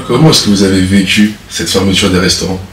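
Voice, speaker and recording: Deep-voiced male interviewer, echoey room. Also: fairly even intonation, quick pace, a bit bright. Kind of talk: formal interview questions. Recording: roomy echo, distant mic, faint noise.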